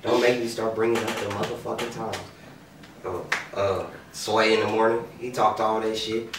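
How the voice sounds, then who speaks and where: A man with a mid-range voice talks bluntly on a podcast, cursing freely and bursting out loudly. He is picked up from across an echoey room. A clear steady hiss runs behind him. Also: on the low side, normal speed, distant mic. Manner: blunt, profane, loud outbursts. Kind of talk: casual heated chat.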